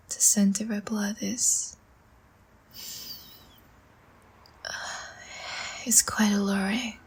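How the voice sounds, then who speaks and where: Slow, breathy, seductive vampire woman played by an actress. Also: medium pitch, exaggerated voice. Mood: seductive, direct. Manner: soft, coaxing, slow and teasing, often breathy near-whisper. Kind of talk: intimate roleplay audio drama.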